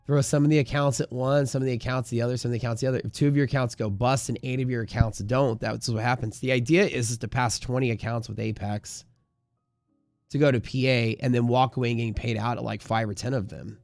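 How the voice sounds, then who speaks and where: A man's voice: medium pitch, steady tone, fast, vocal fry, darkish tone, dry recording, faint music behind. A man hosting a livestream in casual, slangy, emphatic conversation, answering viewers and giving his opinions. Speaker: livestream host and trading community leader.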